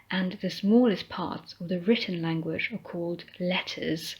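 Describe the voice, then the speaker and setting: A British woman, an English teacher, narrating a scripted lesson in a slow, careful, measured way. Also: on the low side, darkish tone, husky. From Britain. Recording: dull lo-fi recording.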